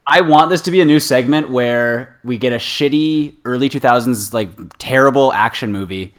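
A man's voice: medium pitch, normal speed. A man chatting casually as a podcast co-host and contestant, often thinking aloud. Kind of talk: casual chat.

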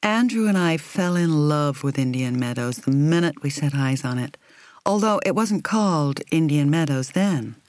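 A woman reading a first-person novel as an audiobook narrator, in a measured, reflective, carefully articulated way. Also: deep voice, animated, a little mellow, dry recording.

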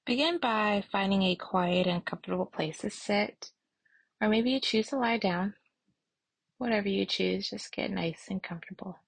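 A woman leading a guided meditation and prayer as an instructor, speaking softly and soothingly with long, unhurried pauses. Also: mid-range voice, creaky, husky, dry recording, rough recording.

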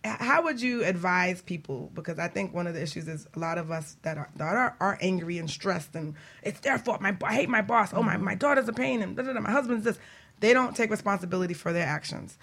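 A woman's voice: on the low side, lively intonation, brisk, a bit bright. A woman co-hosting a talk show in a casual, colloquial style, telling anecdotes and asking questions. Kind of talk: casual chat.

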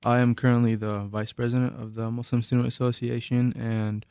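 A man's voice: medium pitch, little pitch movement, fairly quick, warm tone, smooth, no echo, studio quality. A man in his twenties from Egypt, a student guest, introducing himself hesitantly with many ums. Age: 20s.

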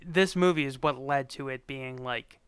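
An American man talks casually as a podcast co-host, with a clean, smooth voice. He is sarcastic and mock-exasperated about the film. The recording is dry and close. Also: mid-range voice, normal speed, a bit bright, no room sound. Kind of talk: casual chat.

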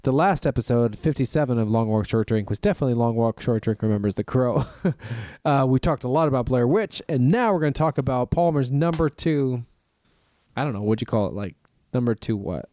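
A man's voice: medium pitch, lively intonation, fairly quick, warm tone, dry recording, clean studio sound. Laid-back rambling podcast man. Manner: rambling, laughs often, trails off. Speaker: podcast co-host. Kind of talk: casual chat.

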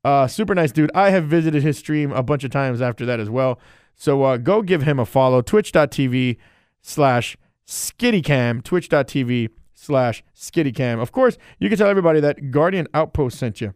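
A man's voice: medium pitch, animated, normal speed, darkish tone, clear, resonant tone, dry recording, clean studio sound. A man hosting a casual solo podcast and chatting in a loose, rambling, friendly way. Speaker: podcast host and streamer.

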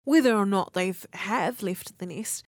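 A woman's voice: mid-range voice, measured pace, no echo, studio quality. Candid 19-year-old New woman. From New Zealand. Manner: candid, conversational, swears casually. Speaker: radio host. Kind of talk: personal monologue for student radio.

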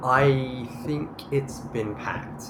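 A man's voice: medium pitch, drawn-out words. A man playing an anxious, hesitant, old-fashioned husband in a naturalistic audio drama. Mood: sheepish. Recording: echoey, clearly noisy ambience.

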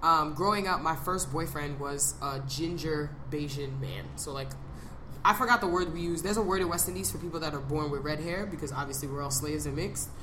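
A young woman chatting casually as a podcast co-host, animated and profane, slowing into a drawl when musing. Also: sits low. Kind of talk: casual chat. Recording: echoey room with background noise.

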